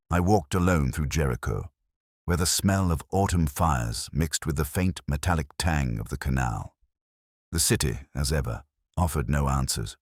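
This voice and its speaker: Very deep-voiced man narrating a brooding detective story. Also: breathy edge, no room sound, studio quality. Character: melancholy, world-weary male English detective narrating his case. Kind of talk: literary first-person detective audio drama narration.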